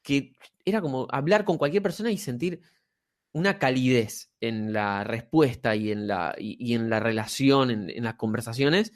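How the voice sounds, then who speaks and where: A man's voice: medium pitch, normal speed. A man with an Argentine Spanish accent hosting a podcast interview, talking casually with lots of fillers and repeated words. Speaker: podcast host. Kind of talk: conversational interview.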